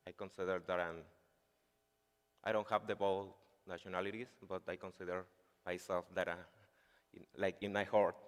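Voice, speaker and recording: Deep-voiced Chilean man giving a conference talk. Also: smooth. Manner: hesitant, many fillers like "like" and "uh". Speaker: conference speaker. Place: large conference hall. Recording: reverberant hall sound.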